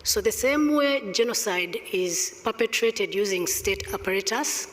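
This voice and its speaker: Panelist from Rwanda, emphatic, deliberate, repeats for emphasis, middle pitch, long hall reverb. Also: lively intonation, a bit bright. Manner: emphatic, deliberate, stresses points with repetition. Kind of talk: panel discussion answer.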